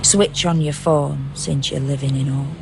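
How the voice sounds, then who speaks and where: A woman's voice: extremely low pitch, steady tone, unhurried, vocal fry, noisy background, exaggerated voice. A woman playing a tender, watchful dead young woman who narrates in lyrical, incantatory verse in a radio drama. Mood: lyrical, observant.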